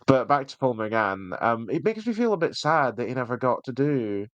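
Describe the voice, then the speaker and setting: A man's voice: mid-range voice, sing-song, normal speed, dry recording, dull audio. A man co-hosting a podcast, giving reviews in a rambling, jokey, casual way. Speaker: podcast co-host.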